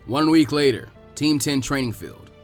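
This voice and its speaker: A man's voice: mid-range voice, expressive, normal speed, faint music behind. A man narrating fan fiction as a scripted audiobook reading for his channel.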